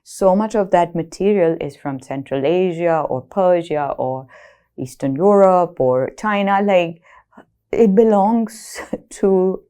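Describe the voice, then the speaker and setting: A woman from Pakistan speaks in a low, dark voice. She is thoughtful and questioning, often restarting as she works through ideas. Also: expressive, slow pace, clean tone. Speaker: manuscript painter. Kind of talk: documentary interview.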